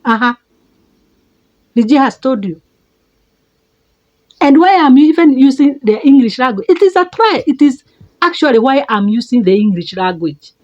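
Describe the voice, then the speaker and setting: A middle-aged Kenyan woman with a Kenyan accent, giving an emphatic motivational talk as a life coach, repeating words and leaping up in pitch for stress. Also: lively intonation, moderate pace, pauses often, darkish tone, highs cut off. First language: a Kenyan mother tongue.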